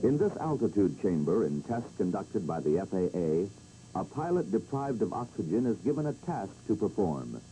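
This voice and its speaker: An American man giving a dramatic, measured scripted narration for a 1960s training film, on an old film soundtrack. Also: low voice, very animated, noisy background. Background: loud background music.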